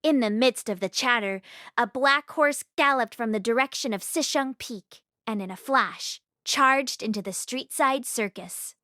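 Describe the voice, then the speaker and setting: A woman narrating a story aloud in an expressive read-along style. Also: fairly high pitch, dry recording, clean studio sound. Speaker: audiobook read-along host.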